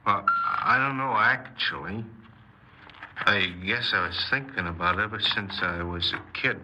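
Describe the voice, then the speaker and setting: A man's voice: on the low side, measured pace, pushed and bright, dull audio. An actor playing a sullen, aimless young man confessing his crimes in an offhand, hesitant way, in 1950s radio drama style. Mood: hesitant, subdued. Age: young adult. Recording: noisy old 1950s radio recording.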